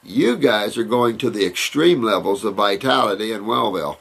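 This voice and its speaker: Gravelly, husky older man rambling. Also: lowish voice, animated, moderate pace, a bit bright, rough recording. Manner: rambling, emphatic, repeats words and restarts. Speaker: naturopath answering viewer questions. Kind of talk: casual Q&A talk, reading and answering letters.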